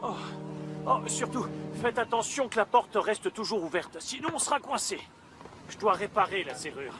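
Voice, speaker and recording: Casting: flustered, kindly cartoon chap, high animated pitch, full of exclamations. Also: male voice, normal speed. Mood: good-natured. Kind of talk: French children's cartoon dub. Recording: music behind the voice.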